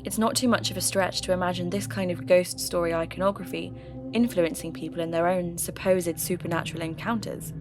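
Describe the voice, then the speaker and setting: A woman playing a sceptical, intrigued local radio host who investigates ghost stories in measured, scripted podcast narration. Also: lowish voice, fairly even intonation, natural voice. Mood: sceptical, reflective. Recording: music bed under voice.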